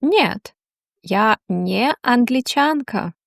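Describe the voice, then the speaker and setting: A woman teaching a language, reading slow, deliberate question-and-answer drills with long pauses. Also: fairly high pitch, lively intonation, creaky, fairly bright tone, no echo, studio quality.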